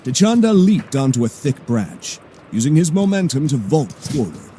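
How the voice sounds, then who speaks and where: Casting: male narrator for action audio drama, mellow dark tone, highly varied intonation, dramatic scripted delivery. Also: very animated, normal speed, slight background noise.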